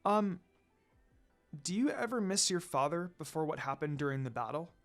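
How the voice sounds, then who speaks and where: Reader doing gentle teen girl, soft earnest voice, kind but determined, story dialogue. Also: mid-range voice, expressive, moderate pace, soft background music, lightly acted. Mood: earnest, concerned.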